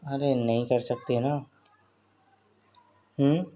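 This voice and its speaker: Casting: male actor as married flirt, soft mellow tone, mid-pitched, sly innuendo, casual phone-call drama. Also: steady tone, takes it slowly, natural voice. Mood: teasing, mock-complaining. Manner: teasing, double-meaning banter. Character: flirtatious married man, teasing and suggestive.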